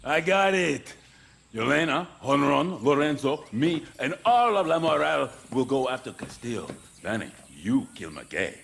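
An actor playing a gruff, impatient older male rebel chief who speaks curtly, in noisy game audio. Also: medium pitch, sing-song, measured pace, exaggerated voice. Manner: gruff, curt, rallies with shouts.

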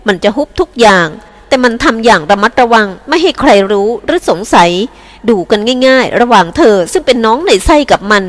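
A woman's voice: on the high side, lively intonation, fairly quick, close to an everyday voice. A woman reading aloud and voicing an anxious, scheming woman devoted to her young son, in a pleading, urgent way. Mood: insistent.

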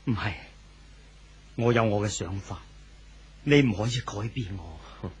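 An actor playing an anxious, clinging older husband in a 1970s radio drama, pleading and insistent, with a Hong Kong Cantonese accent. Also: male voice, fairly low pitch, a little slow, a little hiss or hum, lightly acted. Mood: stubborn, defensive. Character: anxious older husband clinging desperately to his unfaithful wife.

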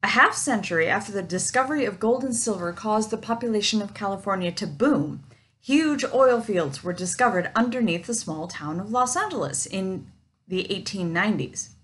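A woman's voice: mid-range voice, animated, moderate pace, a bit bright. Woman reading a campaign speech. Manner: reads a prepared speech with dry jokes. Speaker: party treasurer candidate. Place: home office with bookshelves. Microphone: webcam at desk.

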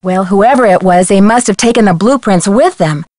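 A woman's voice: mid-range voice, moderate pace, a little mellow, dry recording, clean studio sound, natural voice. A woman voicing an earnest, articulate young female detective, worried and determined, in a video game. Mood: puzzled, concerned. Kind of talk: video game voice acting, phone dialogue.

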